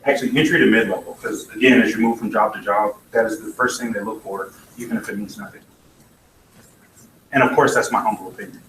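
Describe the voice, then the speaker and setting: A man's voice: on the low side, animated, fast, fairly bright tone. A man giving a blunt, opinionated conference talk with dry asides. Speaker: conference speaker. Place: conference hall. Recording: echoey hall, mic from far off, faint noise.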